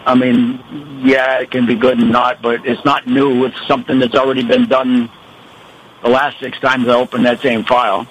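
Gravelly guy calling in to a radio show, halting, lots of restarts, a little noise behind him. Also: mid-range voice, level tone, poor audio. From Rochester, New York. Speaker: caller. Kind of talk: radio call-in.

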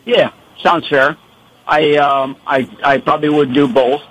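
A man from Rochester, New York, calling in to a radio show; he has a gravelly voice and talks haltingly with many restarts. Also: a little high, steady tone, fairly bright tone, poor audio. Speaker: caller. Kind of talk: radio call-in.

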